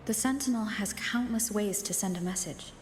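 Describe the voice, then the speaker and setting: A woman's voice: mid-range voice, moderate pace. An actress playing a pensive woman reasoning to herself in a video game, reflective and questioning, with a reverberant inner-thought effect. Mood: pondering. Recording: heavy echoing reverb, music behind. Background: background music.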